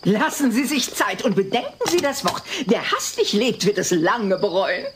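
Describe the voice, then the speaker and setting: An actress as a sententious, expressive woman. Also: medium pitch, normal speed, background noise, lightly acted. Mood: measured, persuasive. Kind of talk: German-dubbed TV sitcom audio drama.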